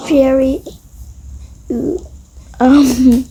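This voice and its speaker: A woman's voice: high-pitched, flat delivery, extremely slow, warm tone, slight background noise. A female voice improvising a roleplay with toy game characters, slangy and drawling, with sighs. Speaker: roleplay video creator voicing toy characters.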